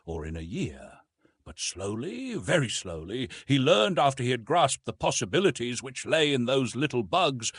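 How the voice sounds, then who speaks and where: A man narrating a literary audiobook in an expressive, measured storytelling manner with dramatic emphasis. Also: medium pitch, husky, dry recording.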